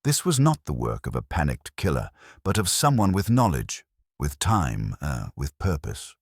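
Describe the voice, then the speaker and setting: A man voicing a fussy, meticulous older Belgian detective, speaking in a measured, precise and formal way. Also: a bass voice, wildly swooping pitch, gravelly, dry recording, clean studio sound, heavily acted.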